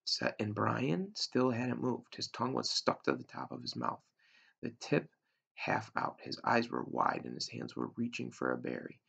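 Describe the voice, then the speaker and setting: A man in his thirties with a gravelly, husky voice, reading a storybook aloud like a teacher to a class. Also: mid-range voice, animated, normal speed, fairly bright tone. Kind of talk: read-aloud storytelling narration. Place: small room indoors at home. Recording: dull, lo-fi webcam sound.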